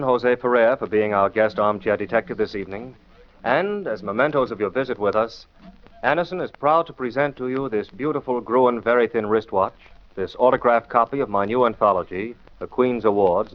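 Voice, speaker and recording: A man's voice: medium pitch, brisk, close to an everyday voice. A man acting a shrewd, scornful radio detective who lectures emphatically in rhetorical questions, in 1940s style. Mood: genial, formal. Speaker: detective lead and show host. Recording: muffled 1940s radio recording.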